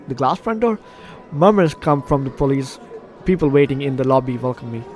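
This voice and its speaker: A man doing let's play commentary, reading game text aloud in an animated, slightly stumbling way. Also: mid-range voice. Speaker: video game streamer. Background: game music.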